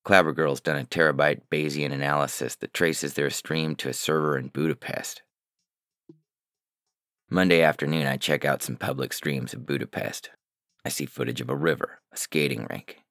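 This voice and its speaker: A man playing a weary, paranoid hacker who narrates a science fiction audiobook in a terse, deadpan, sardonic manner. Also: a bass voice, moderate pace, gravelly, dry recording, studio quality, full character voice.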